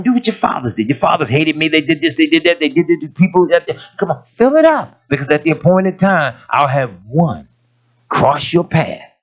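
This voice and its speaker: A raspy-voiced male preacher whose pitch swings widely, dipping low and climbing high as he drives a point home. He preaches in a folksy storytelling way, and the recording sounds dull and lo-fi. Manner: folksy storytelling preaching, rising to emphatic peaks. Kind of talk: sermon.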